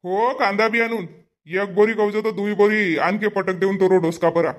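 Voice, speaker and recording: A man's voice: high voice, steady tone, moderate pace, close to an everyday voice. A man voicing a homely village husband and headman in a cartoon comedy, blunt in manner, with a Powari accent. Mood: impatient, hungry. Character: impatient but agreeable village husband and headman.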